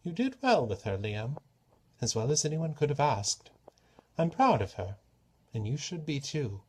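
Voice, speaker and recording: A man voicing a roguish, grand trickster god from a storybook, with a formal, measured and persuasive manner. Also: fairly high pitch, very animated, darkish tone, slight background noise, pitched above the performer's own voice. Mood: proud. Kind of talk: storybook character reading.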